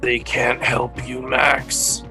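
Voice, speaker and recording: A man playing a menacing monster villain who taunts his victim slowly, in a fan table read over a video call. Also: mid-range voice, completely flat delivery, bright, forceful tone, full character voice. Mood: taunting, menacing. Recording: dry video-call audio, music underneath.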